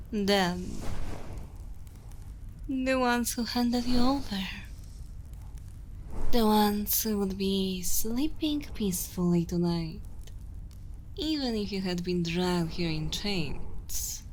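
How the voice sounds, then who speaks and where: A woman acts out an evil queen in an ASMR roleplay, dominant and coldly tender. She speaks extremely slowly and deliberately in a soft, breathy voice that often drops to a whisper, close to the mic. There is light ambient noise behind her. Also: mid-range voice, close to an everyday voice. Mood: bitter, cold. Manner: slow, deliberate, commanding, intimate. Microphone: close ASMR microphone.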